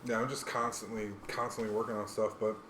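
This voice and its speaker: A man's voice: lowish voice, level tone, fast, fairly bright tone. An American man from Hawaii chatting casually as a podcast co-host, rambling along with plenty of slang and swearing. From Hawaii, United States. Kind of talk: casual chat. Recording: roomy echo, faint background noise.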